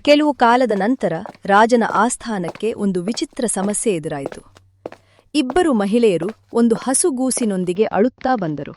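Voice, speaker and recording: A woman giving scripted storybook narration for a cartoon moral tale, in an even storytelling manner. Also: mid-range voice, measured pace, slight background noise.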